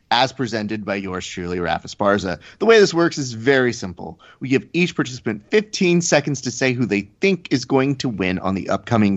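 Playful emcee-style male podcast host. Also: medium pitch. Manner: playful, announcer-like emcee delivery. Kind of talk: casual chat, game-show hosting. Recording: slightly dull lo-fi sound.

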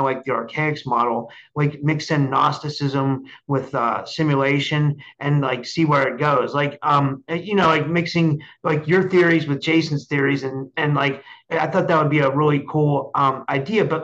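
A man's voice: on the high side, fairly even intonation, normal speed, few pauses, a bit bright, lo-fi sound. A man hosting an interview in a casual, rambling, repetitive way, often checking that he is understood. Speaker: podcast host interviewing a guest. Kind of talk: casual chat.

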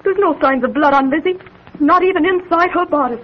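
A woman's voice: sits high, fairly quick, close to an everyday voice. A woman acting an anxious young woman of a murder household, urgent and dramatic, in 1930s radio style. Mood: anxious, defensive. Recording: muffled, noisy 1930s radio recording.

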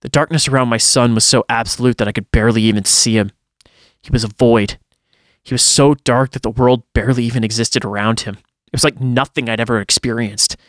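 A man's voice: medium pitch, fairly even intonation, a little fast, fairly bright tone, dry recording, studio quality. A man reading a first-person horror story aloud in a dramatic storytelling style, as a podcast host would.

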